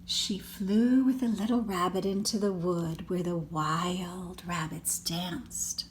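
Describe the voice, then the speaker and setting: A woman's voice: on the low side, slight background noise. An older woman, a grandmother, reading a storybook expressively to children with chatty asides. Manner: child-directed, dramatic emphasis, gasps and hushed asides.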